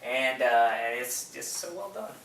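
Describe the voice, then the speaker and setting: A man's voice: mid-range voice, lively intonation, measured pace, creaky, fairly bright tone. A man in a casual film discussion, speaking with gushing enthusiasm as a podcast co-host. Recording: roomy, distant mic, background noise.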